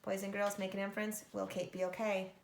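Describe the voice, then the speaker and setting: Female children's storyteller: expressive narration, noticeable vocal fry, roomy recording. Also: mid-range voice, fast, a little hiss or hum. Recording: echoey room.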